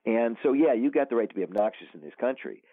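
A male author as interview guest, talking in a rambling, animated way with many restarts over a phone line. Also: medium pitch, quick pace, no echo. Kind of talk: casual interview conversation. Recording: tinny phone line, dry.

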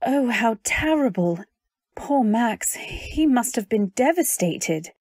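An actress playing a polite, timid young wife who narrates her story in an earnest, measured way in an old-time radio drama. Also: fairly high pitch, animated, a little mellow, husky, no echo, studio quality, exaggerated voice. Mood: curious, troubled.

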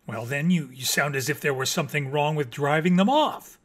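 A vain, irritable storybook mockingbird, performed by a man. The delivery is pompous and dramatic, full of indignant emphasis. The voice sits a little high for a male. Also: animated, unhurried, fairly bright tone.

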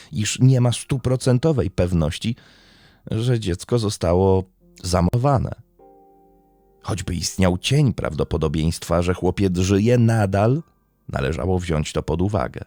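A man's voice: on the low side, warm tone, no echo. A man hosting a true-crime podcast as a scripted narrator.

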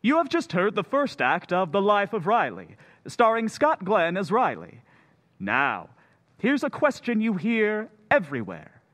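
Man as slow, swooping old-time radio announcer. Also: high voice, huge pitch swings, fairly bright tone, full character voice. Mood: showy. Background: music.

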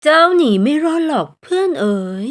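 A woman voicing a cunning, smiling lion in a bedtime story, unhurried and smugly calm in its menace. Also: sits high, voice pushed up.

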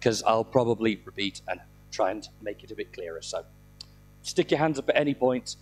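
A middle-aged man with a slightly croaky voice from a throat infection, giving an informal, self-deprecating technical talk with dry humour into a handheld microphone. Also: lowish voice, lively intonation, a little fast, slight background noise. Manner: self-deprecating, dryly humorous. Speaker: conference speaker. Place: indoor conference room, speaking on stage.

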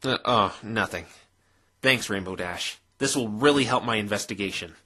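A man voicing an earnest, bemused defense lawyer in a fan dub, asking measured questions with dry puzzlement. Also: on the low side, bright, forceful tone. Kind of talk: fan dub voice acting of a visual novel. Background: music.

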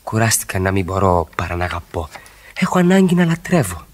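Yearning husband in his thirties, 1960s radio drama, eloquent and emphatic, very animated intonation. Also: low voice. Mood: pleading, ardent. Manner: rhetorical, emphatic, repeats phrases. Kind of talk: 1960s radio play acting.

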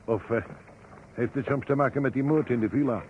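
Actor as chatty witness, muffled radio play. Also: male voice, medium pitch, a little fast, close to an everyday voice. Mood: chatty, wary. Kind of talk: Dutch radio drama acting. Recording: muffled, noisy old radio recording.

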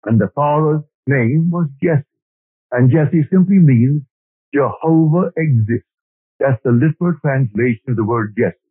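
A man's voice: medium pitch, breathy edge, no room sound. Older man lecturing on an old tape. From Barbados. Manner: earnest storytelling, quoting scripture and poetry. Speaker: lecturer on scripture. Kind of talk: spiritual lecture. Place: lecture hall. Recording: old lo-fi tape recording, phone-like band.

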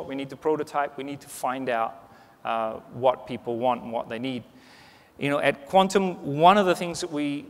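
A man in his forties giving a conversational conference keynote on a large stage, with lots of restarts and "you know.". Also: a little high. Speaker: keynote speaker. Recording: reverberant conference hall.

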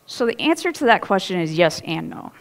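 A woman's voice: fairly low pitch, a little fast, vocal fry. A woman pastor in her thirties, preaching a sermon in a casual, storytelling way on a church stage. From Ohio. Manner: chatty, animated storytelling with laughs and asides.

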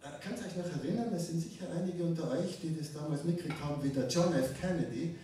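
A middle-aged man, a singer-songwriter talking to the audience between songs on a dark club stage. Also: a little high, fast talker, slight background noise. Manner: chatty, leading the crowd. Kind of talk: stage banter at a live concert. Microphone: stand mic, filmed from far. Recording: echoey hall from far off, loud guitar. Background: loud acoustic guitar.

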